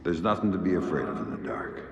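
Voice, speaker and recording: A middle-aged man with an American accent playing a gruff covert agent and tender father in a video game, gravelly, measured and quiet. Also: low-pitched, animated, echoey room, noisy background. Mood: soothing.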